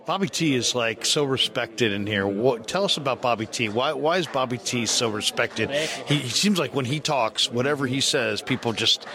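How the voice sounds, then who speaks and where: A man's voice: mid-range voice, moderate pace. An American man working as a sports reporter, asking questions in a conversational locker-room interview. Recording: background chatter of busy locker room. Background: crowd chatter.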